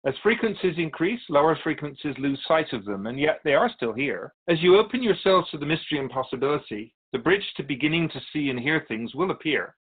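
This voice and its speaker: A man playing a patient, wise, otherworldly Keeper of Souls who teaches in a measured, philosophical way in a radio drama. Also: fairly high pitch, animated, slightly rough, phone-quality sound. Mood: calm, expansive. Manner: measured, philosophical, gently instructive. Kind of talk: scripted radio drama dialogue.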